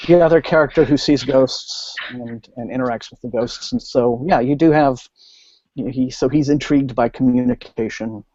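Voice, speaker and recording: A man hosting a casual book discussion, speaking haltingly and often rephrasing mid-thought over an online call. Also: medium pitch, mellow, no echo. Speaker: host of a book discussion liveshow. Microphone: video call. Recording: muffled, lo-fi online call audio.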